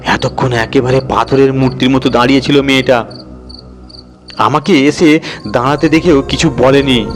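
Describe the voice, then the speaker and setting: A man voicing a colloquial raconteur who recalls a frightening night with drawn-out, dramatic suspense. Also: medium pitch, fairly even intonation, faint music behind, lightly acted. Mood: frightened awe.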